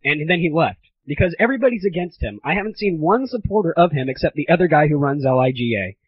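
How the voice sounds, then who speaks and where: A man hosting a gaming audio show, delivering a belligerent, sarcastic, profane comedic rant in a husky voice. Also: fairly high pitch, lively intonation, quick pace. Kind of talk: ranting comedic monologue. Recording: dull lo-fi 1990s amateur recording.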